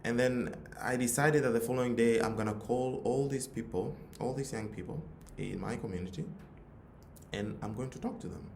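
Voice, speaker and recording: A man's voice: mid-range voice, moderate pace, creaky, darkish tone. A man with a Tanzanian accent giving an earnest motivational talk, repeating phrases for emphasis. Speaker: youth nonprofit leader, forum speaker. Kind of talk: motivational talk with personal story. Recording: roomy echo, audible background noise.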